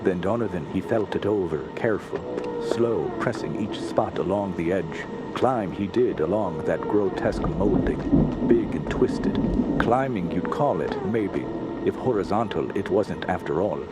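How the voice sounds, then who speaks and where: A man plays a haunted sailor telling his tale in a radio horror play. His voice is low and slow, with halting, backwards grammar and big swings of pitch. Loud boat and sea noise surrounds him. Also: lively intonation. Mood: grim, haunted. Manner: inverted foreign syntax, halting, grim storytelling. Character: haunted Norwegian sailor recounting horror, male, grave, determined. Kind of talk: radio drama narration.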